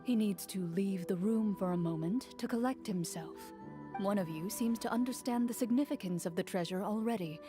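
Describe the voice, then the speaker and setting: A woman voicing a calm, composed young female duelist in a video game, speaking in a cool, measured and direct way. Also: mid-range voice, music in the background, close to an everyday voice.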